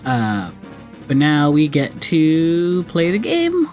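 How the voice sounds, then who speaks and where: A gamer chats casually through a let's play in a mid-pitched voice. The delivery rambles and doubles back to fix itself. Video game music runs behind the talk. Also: huge pitch swings, takes it slowly, a little mellow. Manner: rambling, self-correcting. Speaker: gaming video host. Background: video game cutscene music.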